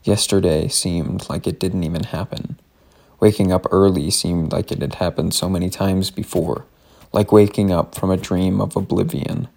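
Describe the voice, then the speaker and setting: A man's voice: sits low, steady tone, moderate pace, creaky, a little mellow. A man reading a scripted first-person story aloud, in the form of a personal letter. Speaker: reader of a written story.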